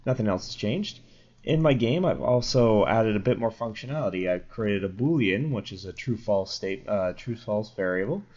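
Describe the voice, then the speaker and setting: A young man with a sore, slightly crackly throat talks through code in a low voice. He rambles casually, stops to correct himself and drops in plenty of fillers. The dull, lo-fi mic takes the edge off every word. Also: lively intonation, moderate pace, a little mellow. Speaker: programming tutorial host. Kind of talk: casual screen-recorded coding tutorial.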